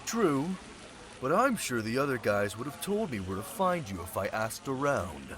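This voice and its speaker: A man's voice: medium pitch, big pitch swings, moderate pace, natural voice. A man playing a sly, centuries-old thief turned security specialist, talking in a casual, teasing way in an audio roleplay drama. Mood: teasing, friendly. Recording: loud crowd chatter behind voice.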